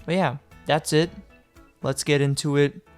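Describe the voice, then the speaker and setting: A man's voice: fairly high pitch, steady tone, measured pace, clear, resonant tone, soft background music. A young man narrating a casual game tutorial, chatty and joking, with loose fillers, a little sick. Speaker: gaming guide creator.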